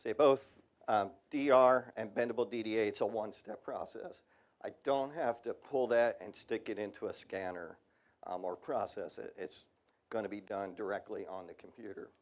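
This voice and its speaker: A middle-aged man, lowish in pitch, presenting at an industrial seminar. He talks casually and conversationally, padding thoughts with ums and asides. His voice sounds slightly worn. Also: normal speed. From United States. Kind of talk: technical seminar talk.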